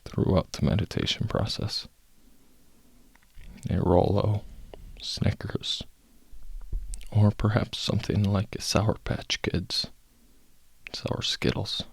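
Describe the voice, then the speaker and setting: A man guiding a meditation in a calm, unhurried, soft-spoken way, with long pauses and a husky, gravelly voice. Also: on the low side, no room sound. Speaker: guided meditation teacher. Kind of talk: guided meditation, slow instructional narration.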